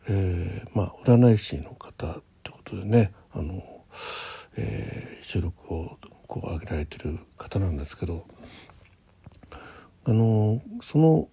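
Husky, low-voiced man murmuring casually. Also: lively intonation, dark tone. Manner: halting, thinking aloud, murmuring to himself. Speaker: host of a personal morning radio show. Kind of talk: casual solo chat with health log and listener letters.

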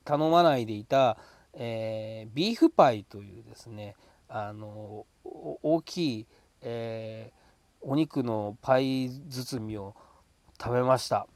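A man with a mid-pitched voice talks casually on his own as a podcast host. He is polite and often stretches out hesitations between phrases. The sound is close and dry, with very little room. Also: takes it slowly, a little mellow, dry recording. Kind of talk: casual solo chat.

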